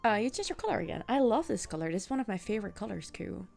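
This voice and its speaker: A woman's voice: medium pitch, very animated, racing, vocal fry, darkish tone, soft background music. A young woman with a Dutch accent, streaming a game and chatting casually with her viewers, often restarting sentences. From Netherlands. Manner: chatty, answers chat, frequent laughs and restarts. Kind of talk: casual chat with viewers while gaming.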